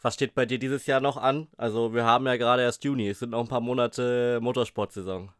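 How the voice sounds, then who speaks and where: A man's voice: medium pitch, steady tone, a little fast, smooth, dry recording, clean studio sound. A man hosting a podcast with a cold, asking questions and then thanking his guest and addressing listeners eagerly. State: has a cold. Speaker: podcast host. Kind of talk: podcast interview and outro.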